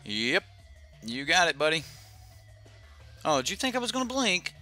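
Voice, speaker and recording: A man's voice: on the high side, very animated, normal speed, sharp tone, faint music behind. A young man casually commentating his own card game on a live stream, thinking aloud with lots of fillers and restarts.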